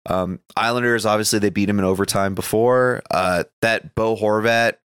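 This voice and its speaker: A man's voice: lowish voice, steady tone, normal speed, a bit bright, clear, resonant tone, dry recording, clean studio sound. A man co-hosting a podcast, chatting casually about sports in a rambling, conversational way. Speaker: podcast co-host.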